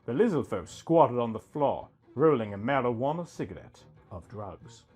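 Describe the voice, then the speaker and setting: A man's voice: medium pitch, very animated, moderate pace, darkish tone, breathy edge. A man reading a scripted first-person story as its narrator. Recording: music bed under the voice. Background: music.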